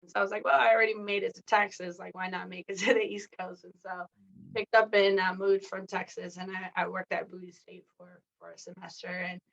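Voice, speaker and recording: A woman's voice: mid-range voice, little pitch movement, brisk, creaky, a bit bright, highs cut off. A woman who was born in Mexico and raised in California, a coach and athletic director, talking candidly as a podcast guest with lots of "like" and "you know". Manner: candid, chatty, many fillers like "like" and "you know". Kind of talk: casual interview conversation.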